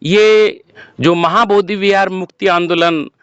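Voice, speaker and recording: A man with a high-pitched voice, caught in a dry, somewhat muffled recording. He gives earnest interview answers, deliberate and halting, often driving a point home with a rhetorical question. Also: fairly even intonation, fairly bright tone, smooth, no room sound. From Madhya Pradesh, India. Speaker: protest participant being interviewed. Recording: dull lo-fi close recording.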